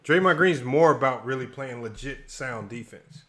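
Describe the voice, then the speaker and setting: Man, sports debate host, easygoing, casual chat, home streaming mic, mid pitch. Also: expressive, normal speed, a bit bright. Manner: easygoing, reads viewer comments aloud. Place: home room on an online stream.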